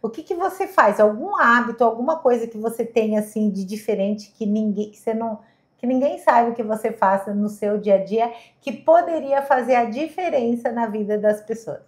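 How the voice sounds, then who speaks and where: A woman's voice: on the high side, normal speed. A woman hosting a podcast interview, asking questions and echoing her guest's answers back. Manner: asks questions, echoes the guest's words back. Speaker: podcast host. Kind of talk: casual interview.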